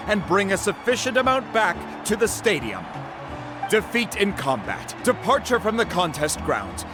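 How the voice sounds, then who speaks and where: A showy tournament announcer from a video game. The voice is high and swings widely in pitch, projected with theatrical, formal energy and drawn-out endings, over music. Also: male voice.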